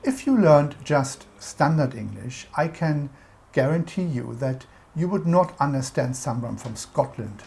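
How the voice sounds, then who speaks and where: A woman's voice: rumbling low, animated, unhurried, creaky, mellow. A woman with a German accent, narrating a scripted explainer about English culture in an instructive, emphatic way.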